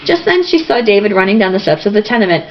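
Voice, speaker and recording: Woman doing a really lively casual book reading, cheeky asides, dull recording with faint noise. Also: mid-range voice, quick pace. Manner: irreverent, theatrical. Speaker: reader. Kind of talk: informal amateur novel read-aloud with asides.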